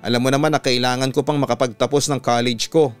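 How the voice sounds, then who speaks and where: Man voicing a chiding young Filipina sweetheart, smooth. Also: mid-range voice, little pitch movement, fast talker, faint music behind, close to an everyday voice. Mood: shy, practical. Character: young woman, scolding but affectionate sweetheart on the phone.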